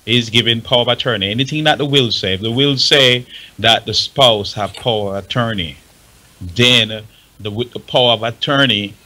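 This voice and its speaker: A man's voice: medium pitch, moderate pace, bright, forceful tone, rough recording. A man debating informally on a panel, insistent and emphatic, often repeating his words to make a point. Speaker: talk show panelist. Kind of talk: informal, argumentative panel discussion.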